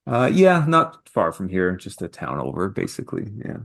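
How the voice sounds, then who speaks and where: A man from the Toronto area, a cartoonist guest, chatting calmly and easily on a call. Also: deep voice, normal speed, gravelly. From Toronto area, Canada. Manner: calm, easygoing, laughs often. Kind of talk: casual interview chat. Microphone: video call.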